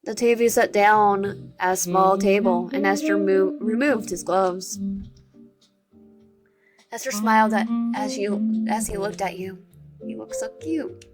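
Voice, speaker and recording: A woman's voice: medium pitch, fairly even intonation, a little slow, loud music behind the voice. Woman casually reading a visual novel. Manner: casual reading with slips and restarts. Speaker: gaming channel host.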